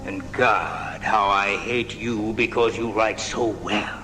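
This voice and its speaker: An actor playing a bitter, envious failed writer with murderous intent in a live radio drama, intense and menacing. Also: male voice, on the low side, slow, bright, forceful tone, faint music behind. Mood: bitter, obsessed. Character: envious, hateful failed male writer bent on killing. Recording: off-air 1980s radio recording.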